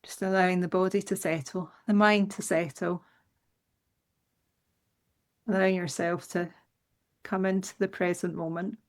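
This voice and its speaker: A woman leading a guided meditation in a soothing, unhurried manner with gentle instructions. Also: on the low side, level tone.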